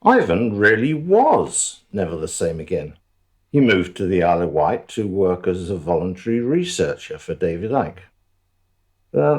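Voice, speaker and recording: A man plays a wry storyteller narrating a radio drama. His voice is low and husky, and he takes his time. The intonation rises and falls widely while the asides stay deadpan. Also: heavily acted. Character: wry male storyteller looking back on events.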